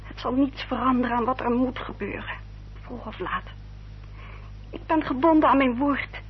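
An actress playing a sorrowful, resigned young gentlewoman, speaking formally and earnestly in a radio play. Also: high voice, normal speed, slightly rough. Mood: sad resignation. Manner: formal, earnest, self-sacrificing. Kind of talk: Dutch radio play acting. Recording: dull, noisy old radio recording.

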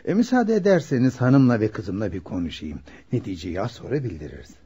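Very husky, mellow kindly father. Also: lowish voice, very animated, a little fast, soft background music, full character voice. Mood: genial, polite. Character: kindly middle-aged father weighing his daughter's marriage. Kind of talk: Turkish radio theater acting. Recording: muffled lo-fi radio drama recording.

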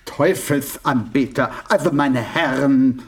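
A man playing a fussy, excitable gentleman with poetic airs in a German radio play, speaking in a florid, effusive way. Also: mid-range voice, slow pace. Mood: fascinated, florid.

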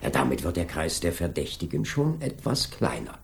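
Probing police inspector, widely varied intonation. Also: male voice, low voice, very animated, creaky, full character voice. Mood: deliberate reasoning. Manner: deliberate, measured, insistent questioning. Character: probing, methodical male police inspector, calm and insistent. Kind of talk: 1980s German radio crime drama acting.

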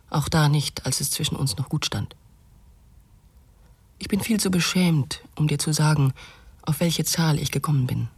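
An actress playing a regretful young woman reading out her confessional letter in a breathy, intimate radio drama style. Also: deep voice, a little mellow, no room sound, heavily acted.

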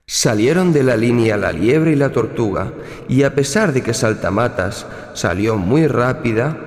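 A man's voice: mid-range voice, expressive, unhurried. A man narrating a children's story from a script. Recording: echoing narration with long reverb, faint music.

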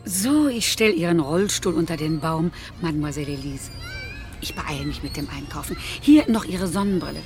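A woman's voice: low voice, lively intonation, moderate pace, darkish tone, faint music behind. An actress playing a chatty housekeeper who cares for a patient, fussing over her in a motherly tone in a radio drama. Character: chatty older female housekeeper and carer, fussing.

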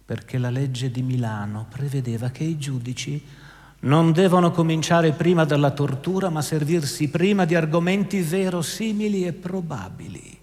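A man's voice: fairly high pitch, normal speed. A man narrating and commenting in a scripted, theatrical radio-drama style. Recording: long hall reverb, loud music behind.

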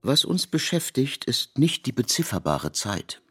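A man reading literary narration for radio in a measured, articulate way. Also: medium pitch, big pitch swings, fairly bright tone, breathy edge, faint music behind.

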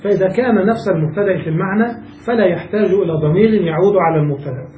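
A man's voice: high-pitched, rapid-fire, mellow, echoey room, far from the mic, slight background noise, rough recording. A man teaching a classical Arabic grammar lesson, explaining step by step and checking that students follow. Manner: patient, asks checking questions to students.

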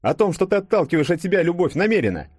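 A man's voice: high voice, big pitch swings, fast, darkish tone, breathy edge, no room sound, clean studio sound, pitched above the performer's own voice, exaggerated voice. A man voicing a wry, sarcastic young man with a light, ironic manner in an audiobook.

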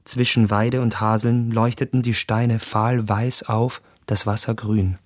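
An actor playing a hesitant, vain young gentleman traveler, speaking his reflective thoughts in a radio play. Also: fairly low pitch, level tone, unhurried, dry recording, lightly acted. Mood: contemplative.

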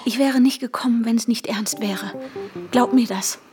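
Over a clearly audible music bed, an actress plays an anxious woman with an intense, hushed delivery. Her sentences are short and clipped, in the manner of a radio drama heroine who needs control. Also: on the high side, a little slow, close to an everyday voice. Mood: pleading, urgent. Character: anxious, controlling woman searching for her vanished fiancé, narrating. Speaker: protagonist and narrator. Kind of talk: radio drama inner monologue and dialogue. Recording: music under the voice.

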